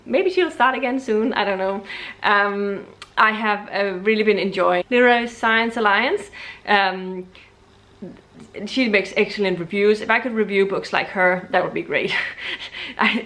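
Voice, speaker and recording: Danish woman vlogging, hesitant and slow. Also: medium pitch, fairly bright tone. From Denmark. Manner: hesitant, many ums and restarts, deliberately slow. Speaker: book vlogger. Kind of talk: casual chat to camera.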